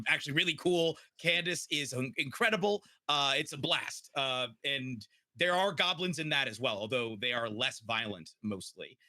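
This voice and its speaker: Casting: enthusiastic male podcast co-host, forceful bright voice, lively swoops, dry close sound. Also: mid-range voice, no echo. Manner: enthusiastic, informal. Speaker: podcast co-host and guest player. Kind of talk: casual chat and plugs.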